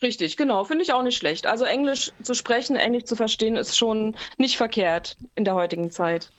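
A woman who writes and sings her own songs, a native German speaker, answering interview questions in a relaxed, thoughtful way with frequent fillers, heard over a remote call line. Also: on the high side, brisk. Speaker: singer-songwriter guest. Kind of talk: casual interview talk.

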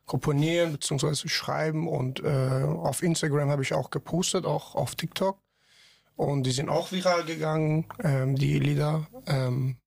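A man from Iran, a podcast guest talking haltingly with many fillers. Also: on the high side, steady tone, vocal fry, darkish tone, slightly rough, dry recording. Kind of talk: casual podcast interview.

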